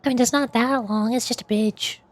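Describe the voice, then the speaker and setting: A woman's voice: on the high side, steady tone, normal speed. A woman streaming a game, reading dialogue aloud and chatting casually, with game music behind her. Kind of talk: live gameplay reading with casual asides.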